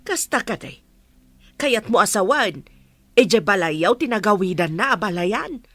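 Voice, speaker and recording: A woman's voice: mid-range voice, animated, a little slow, a bit bright, no room sound. A woman from the Philippines voicing a worried, talkative older in-law in an audio drama. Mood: concerned. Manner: concerned, talkative.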